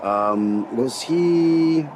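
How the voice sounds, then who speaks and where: An actor playing a polite police detective in a screen drama, asking probing questions in a tentative way. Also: male voice, medium pitch, expressive, drawn-out words. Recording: clear ambient background noise.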